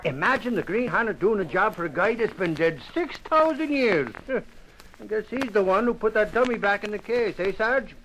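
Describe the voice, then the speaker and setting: High, swooping, dopey comic cop. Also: male voice, lively intonation, moderate pace, a bit bright. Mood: amazed, gullible. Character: eager, dim-witted, excitable comic policeman. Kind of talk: old-time radio drama acting. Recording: noisy old radio recording.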